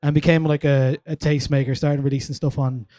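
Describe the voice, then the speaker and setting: A man's voice: fairly high pitch, flat delivery, brisk, dark tone, dry recording, studio quality. A man hosting a casual chat at a live album listening event, chatty and full of fillers. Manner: chatty, lots of "like" fillers, reads quotes aloud. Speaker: host.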